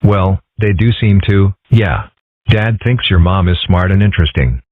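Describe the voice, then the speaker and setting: A man plays a calm, deadpan boy in a cartoon dub. The voice is low and husky, understated, with a narrow phone-like sound. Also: normal speed. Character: calm, deadpan young son of a dragon.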